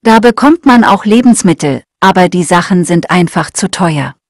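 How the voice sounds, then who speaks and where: A woman with a fairly low voice reads simple texts for language learners. She speaks clearly and evenly, each sentence set out with care. The sound is dry and close, with no room around it. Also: expressive, normal speed, no room sound. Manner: clear, evenly paced reading aloud. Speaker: German lesson reader. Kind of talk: slow scripted reading for learners.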